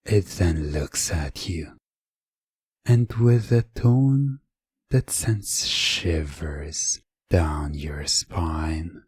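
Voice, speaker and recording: A man narrating a scripted second-person story for hypnosis ASMR, measured and deliberate, with dramatic pauses. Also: very deep voice, a little mellow, breathy edge, no echo, clean studio sound.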